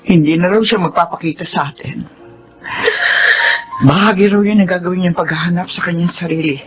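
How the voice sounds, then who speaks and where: A woman's voice: fairly low pitch, brisk, lo-fi sound, soft background music, lightly acted. A woman playing a sorrowful, compassionate older mother in an emotional radio drama, tearful and earnest. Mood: tender, sorrowful.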